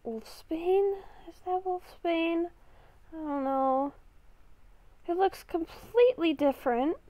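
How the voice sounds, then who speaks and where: A woman's voice: very high voice, lively intonation, takes it slowly, mellow, no echo, heavily acted. A young woman improvising a Minecraft roleplay as a confused, lost young woman, hesitant and thinking aloud. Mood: puzzled, musing. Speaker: gaming video creator.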